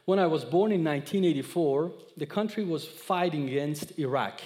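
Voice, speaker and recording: A man in his thirties from Iran, speaking English with an Iranian accent, giving an expressive sermon that mixes scripture with his own testimony. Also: a little high, moderate pace, big-room sound. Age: 30s. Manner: expressive storyteller, acts out dialogue, audience laughter. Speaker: guest speaker.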